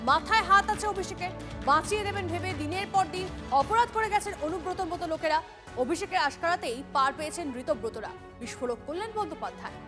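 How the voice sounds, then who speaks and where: A woman's voice: extremely high pitch, quick pace, music in the background. A woman with a West Bengal accent presenting news as an anchor in an urgent, emphatic, dramatic scripted style.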